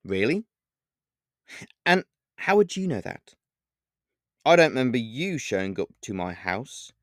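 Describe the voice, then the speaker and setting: Dude putting on a sarcastic young woman, mocking high voice, very lively, dry. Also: dry recording.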